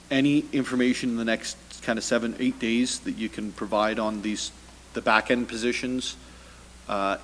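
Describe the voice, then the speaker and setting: Guy running a council meeting, stumbles and repeats himself, kinda dry humor, echoey hall with faint hum. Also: medium pitch. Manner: hesitant, repeating words, wry. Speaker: mayor chairing a meeting. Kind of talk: council debate. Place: council chamber.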